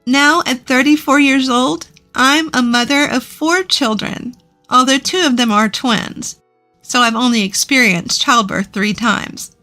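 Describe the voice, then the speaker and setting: A woman's voice: sits high, unhurried, bright, forceful tone, soft background music. A woman reading a scripted first-person story as its narrator.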